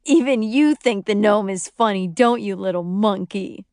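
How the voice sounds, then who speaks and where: A woman's voice: medium pitch, normal speed, darkish tone, dry recording, natural voice. A woman narrator plays a young father who jokes and teases. The delivery is easygoing and playful, as character dialogue in an audiobook, with a dry, close sound. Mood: teasing. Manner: playful, teasing.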